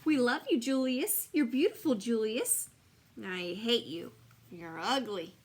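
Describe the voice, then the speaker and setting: A woman's voice: on the high side, a little slow, a little hiss or hum, close to an everyday voice. A woman voicing a jealous, bossy little girl mouse in a storybook, talking in a petulant, sulky, dramatic way. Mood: bratty. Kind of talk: storybook reading.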